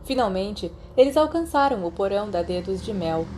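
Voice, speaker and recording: A woman's voice: mid-range voice, expressive, moderate pace, noisy background. A woman with a Brazilian accent reads a story aloud as scripted audiobook narration. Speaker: podfic narrator.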